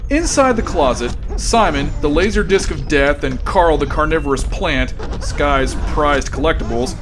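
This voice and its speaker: A man narrates a comic space tale with a fairly high voice. His scripted delivery is grandiose and mock-epic, with music underneath. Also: lively intonation, normal speed.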